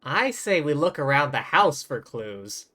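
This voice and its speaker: A low-pitched woman comments casually as she streams a game. Her delivery is dry and irreverent, with clear noise and clicking behind her. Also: very animated, a little slow. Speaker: livestreamer reacting to a game.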